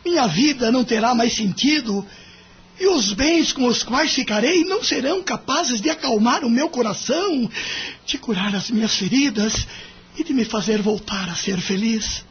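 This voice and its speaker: Wounded, stubborn older Brazilian husband male actor, very rough husky voice, melodramatic, muffled recording. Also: very high voice, animated, normal speed, heavily acted. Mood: grieving, emotional. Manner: formal, emotional, insistent. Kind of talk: melodramatic audio drama acting. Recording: muffled lo-fi audio.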